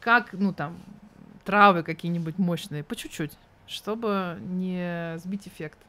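A woman's voice: fairly low pitch, a little slow, a bit bright. A woman in her thirties speaking as an interview guest, candid and thoughtful with an ironic edge.

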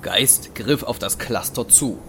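A man's voice: medium pitch, takes it slowly, creaky, noisy background. A man narrating a scripted sci-fi audio drama as its storyteller. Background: music.

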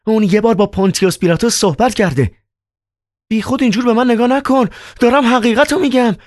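A man reading aloud and voicing a frantic young male poet held in an asylum, his voice pushed high and shrill. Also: no echo, clean studio sound.

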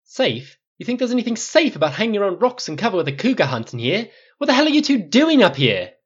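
A man's voice: high-pitched, big pitch swings, fast, breathy edge, highs cut off, pitched above the performer's own voice, full character voice. A man voicing a livid, commanding ranch boss who barks and snaps in an audiobook story. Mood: furious. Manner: snapping, barking, forceful.

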